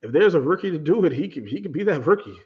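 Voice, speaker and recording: A man's voice: a little high, lively intonation, fast talker, lo-fi sound, over a noisy crowd. A man in his late twenties, a guest on a casual sports podcast, blunt and laid-back, laughing often. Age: 20s.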